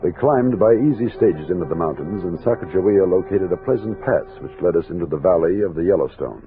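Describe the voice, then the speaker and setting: An American actor playing a steady, commanding army captain of a frontier expedition in an old-time radio drama. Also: male voice, low voice, a little fast, narrow, tinny audio, faint music behind. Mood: reflective narrating. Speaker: lead actor and narrator. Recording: thin, noisy vintage radio recording.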